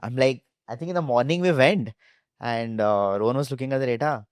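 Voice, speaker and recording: A man's voice: mid-range voice, fairly quick, clear, resonant tone, dry recording. A man with an Indian accent, a co-founder telling stories casually in an interview and peppering his speech with "like". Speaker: startup co-founder being interviewed.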